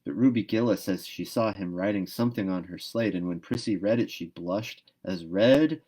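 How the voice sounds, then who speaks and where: A chatty, dreamy storybook schoolgirl, voiced by a man with a fairly low voice. She rambles on earnestly, full of gossip, wordy and dramatic. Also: normal speed, mellow. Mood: gossipy. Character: chatty, dreamy, dramatic eleven-year-old orphan schoolgirl.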